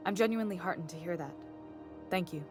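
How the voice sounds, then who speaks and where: An actress playing a weary, exacting middle-aged chief engineer of a space station in a video game, composed, dry and formal. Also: female voice, lowish voice, fast, over music, close to an everyday voice. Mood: relieved. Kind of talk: video game dialogue acting.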